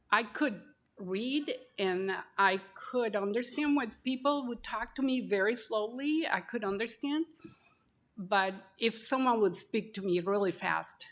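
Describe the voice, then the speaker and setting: Woman in her sixties, Mexican Spanish accent, unhurried conference speaker, halting with many fillers. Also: medium pitch, creaky. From Mexico City. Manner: slow, halting, with frequent fillers. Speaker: call center representative and speaker. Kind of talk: personal story at a conference session. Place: conference breakout room.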